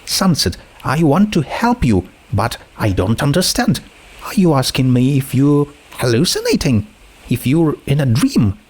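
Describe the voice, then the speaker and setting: Concerned teen girl friend, played by a male reader, gentle and questioning, for storybook drama. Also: mid-range voice, wildly swooping pitch, unhurried, slightly rough, pitched above the performer's own voice, heavily acted, poor audio. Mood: worried, confused. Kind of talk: dramatic fan fiction reading.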